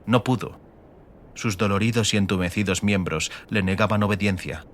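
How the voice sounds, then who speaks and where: A man reading scripted dramatic audiobook narration in a measured, literary manner. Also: fairly low pitch. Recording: faint ambient noise behind.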